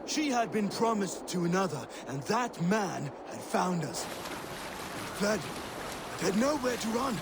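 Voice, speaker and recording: A man playing a rueful, weathered older Viking warrior telling a tale dramatically in a video game, with a gravelly voice. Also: high-pitched, very animated, unhurried, heavily acted. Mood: wistful. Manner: wistful, dramatic storytelling. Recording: loud water and boat noise.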